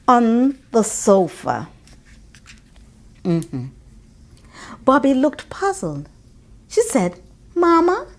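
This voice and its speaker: A woman narrating a children's religious story in an expressive, deliberate, instructive way. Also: medium pitch.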